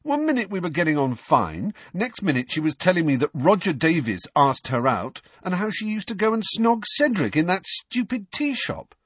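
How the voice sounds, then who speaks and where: A man's voice: lowish voice, expressive, a little fast, few pauses, breathy edge, no echo. A man voicing a baffled, easily flustered teenage boy wizard with an exasperated, spluttering manner in an audiobook. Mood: outraged.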